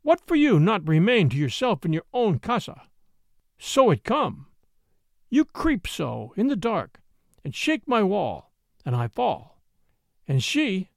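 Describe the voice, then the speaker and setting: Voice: medium pitch, huge pitch swings, takes it slowly, gravelly, long pauses, no room sound, clean studio sound, exaggerated voice. A narrator voicing a pert, childlike young Mexican woman in a storybook, speaking broken English with a Spanish accent and a teasing lilt. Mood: saucy, reproachful. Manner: saucy, lilting, childlike.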